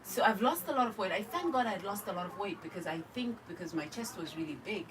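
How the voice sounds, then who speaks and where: A Zimbabwean woman with a Zimbabwean accent, a radio guest telling her own story in a candid, animated way. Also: mid-range voice, fast talker, far from the mic, background noise. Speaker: radio guest, cancer survivor. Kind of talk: personal story told in a radio interview. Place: radio studio.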